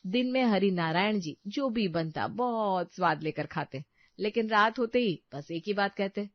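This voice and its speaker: A woman's voice: mid-range voice, normal speed, no echo, dull audio. A woman narrator reading a scripted family story as a storyteller.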